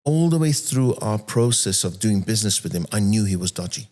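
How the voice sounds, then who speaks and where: A soft-spoken man in his fifties from Iran who moved to England as a teenager, telling personal stories as an interview guest. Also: lowish voice, lively intonation, fairly quick. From born and raised in Iran, moved to England at 13. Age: 50s. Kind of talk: animated personal storytelling in an interview.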